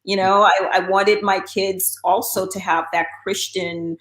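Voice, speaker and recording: A woman's voice: medium pitch, level tone, unhurried, fairly bright tone, echoey room, poor audio. A middle-aged woman from Guyana, talking casually as an interview guest, with frequent fillers and restarts. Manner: chatty, with many um fillers and restarts.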